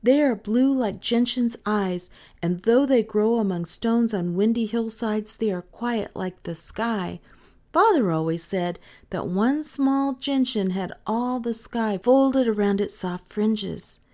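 A woman's voice: on the high side, slow, darkish tone, pitched above the performer's own voice. A woman voicing a careful, serious young boy, a protective older brother in a storybook. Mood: earnest. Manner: earnest, serious.

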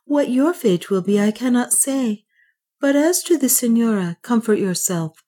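A woman's voice: fairly high pitch, expressive, a little slow, warm tone, voice pushed up. A woman reading an audiobook, voicing an older male servant who is resigned and loyal, in a solemn, earnest manner.

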